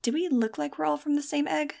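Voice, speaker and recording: A woman voicing a chatty, cheeky fifteen-year-old winged girl in an audiobook, emphatic and quick to retort. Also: high voice, gravelly, darkish tone, voice pushed up.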